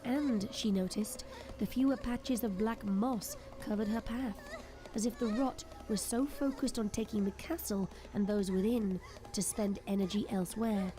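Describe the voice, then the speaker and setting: A woman giving dramatic scripted narration for an audio drama, expressive and suspenseful. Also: medium pitch, moderate pace. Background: prominent background music.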